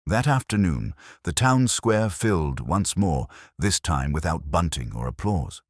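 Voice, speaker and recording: A man narrates a detective mystery in an extremely low voice. His delivery is measured and literary, with lively movement in the pitch. The sound is close and dry. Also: no room sound. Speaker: story narrator.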